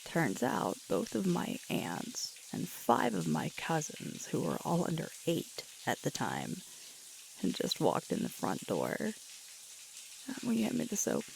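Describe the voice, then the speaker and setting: A woman playing a sweet, tired young alpha werewolf girlfriend in an intimate ASMR audio roleplay, breathy and halting, often dropping toward a whisper. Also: sits low, huge pitch swings, heavily acted, low-quality recording. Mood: tender, sore, soothed. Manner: halting, intimate, with sighs and murmurs. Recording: loud hissing shower water throughout. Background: running shower water.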